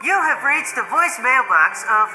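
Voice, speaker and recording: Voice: sits high, sing-song, normal speed, in a large hall, heavy background noise. A performer voicing an automated voicemail announcer reading a recorded greeting. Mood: neutral, automated.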